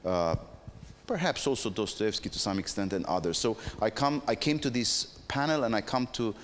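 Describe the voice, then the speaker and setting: A man speaks reflectively on a conference panel, often hesitating and restarting his phrases. His voice is somewhat low, and it carries a long reverb through the hall. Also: animated, moderate pace, a little hiss or hum.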